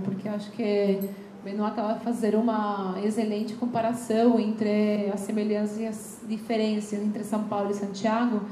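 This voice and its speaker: A young woman speaks as a seminar guest, her showing as she talks in an earnest, searching way full of restarts. Her voice sits a little high and mellow. The sound comes from an echoey lecture room with a faint hiss behind it. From Chile. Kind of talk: lecture talk.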